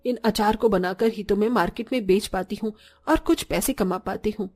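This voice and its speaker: A woman's voice: mid-range voice, normal speed, a little mellow, close to an everyday voice. A woman playing a middle-aged village mother-in-law in a moral story, plain-spoken and given to complaining. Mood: worried, grumbling. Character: grumbling short village mother-in-law, slowly softening. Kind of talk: scripted Hindi moral story voice acting.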